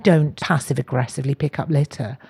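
A middle-aged woman comedian chatting casually as a guest, telling stories in an animated, self-deprecating way. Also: very deep voice, a little fast, vocal fry, breathy edge. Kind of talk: casual comic chat.

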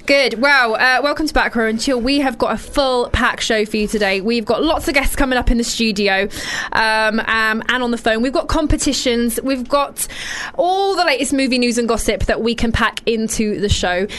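Young British woman, radio host, higher voice, giggly chat, studio headset mic. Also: normal speed, hardly any pauses, fairly bright tone, a little hiss or hum. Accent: British English. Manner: giggly, chatty and self-deprecating.

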